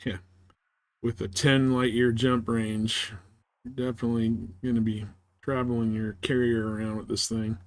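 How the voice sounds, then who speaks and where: A man's voice: mid-range voice, level tone, normal speed, no echo. A man giving casual live commentary as he plays a game, thinking aloud as he goes. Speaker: game streamer.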